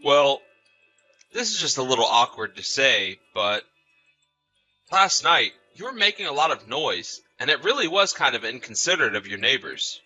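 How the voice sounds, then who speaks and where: Man acting as an awkward, sharp-voiced neighbor. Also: mid-range voice, big pitch swings, moderate pace, soft background music. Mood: awkward, reproachful. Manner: hesitant, tactful but insistent. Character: awkward, tired male neighbor making a complaint. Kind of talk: scripted dialogue in an audio drama story.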